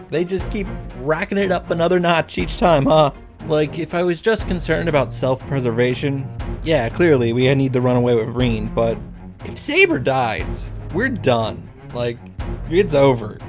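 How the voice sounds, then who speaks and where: A man giving casual, sweary, exasperated commentary as he reacts to a visual novel. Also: a little high. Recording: music behind the voice. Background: music.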